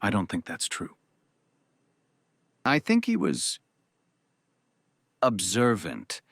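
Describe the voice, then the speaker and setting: A reserved, grieving man played by an actor delivers a solemn eulogy in an audio drama. He speaks slowly and haltingly, his voice rising and falling, recorded close and dry. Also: fairly low pitch, huge pitch swings, vocal fry, fairly bright tone, dry recording, exaggerated voice. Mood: solemn, reflective. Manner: measured, halting, pausing between phrases.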